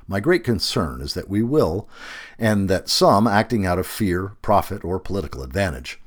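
A man reading a written essay aloud as scripted narration, in an emphatic, expressive manner. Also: sits low, breathy edge. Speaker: audio narrator reading a feature article.

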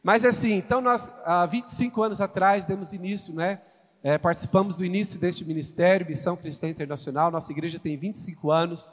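A man's voice: high voice, fast talker. A man in his fifties from Brazil with a Brazilian accent, a guest pastor addressing a congregation, exclamatory and asking the crowd questions. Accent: Brazilian Portuguese, from Goiás. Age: 50s. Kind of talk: sermon introduction to a congregation. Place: church sanctuary. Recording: church hall reverb.

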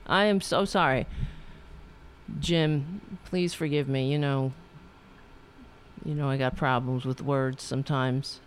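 A woman's voice: rumbling low, steady tone, slow, pauses often, slight background noise. A woman with a New York accent from Staten Island, hosting a political talk show in a rambling, profane monologue full of restarts. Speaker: podcast host.

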